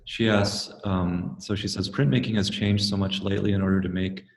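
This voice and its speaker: A middle-aged man moderating an online talk, reading out audience questions in a brisk, businesslike way. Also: low voice, fairly even intonation, creaky. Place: art gallery, indoors. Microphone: video call webcam. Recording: echoey room from a distance, faint noise.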